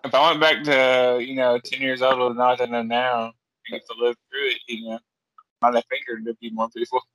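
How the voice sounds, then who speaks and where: Male podcast co-host: bright, punchy tone, dry recording, slangy and halting casual talk. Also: mid-range voice, level tone, no room sound, poor audio. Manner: slangy, halting, repeats himself. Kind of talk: casual chat.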